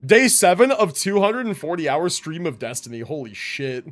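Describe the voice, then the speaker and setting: A man's voice: on the high side, very animated, normal speed. A young man chatting casually to his livestream viewers as a game streamer, informal and rambling, with free swearing.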